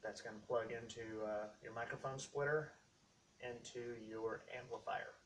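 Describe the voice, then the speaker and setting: A man hosting a casual how-to video, talking through equipment step by step in short, halting phrases. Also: medium pitch, fairly even intonation, a bit bright. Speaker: host of a how-to tech video series. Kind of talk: casual unscripted product walkthrough. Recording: distant mic, faint background noise.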